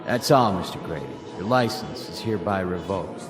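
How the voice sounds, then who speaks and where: An actor playing a cold, stern commissioner passing judgment in a radio drama, formal, official and unyielding. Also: male voice, low-pitched, normal speed, music in the background, natural voice. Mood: stern, final. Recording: reverberant hall, loud background noise.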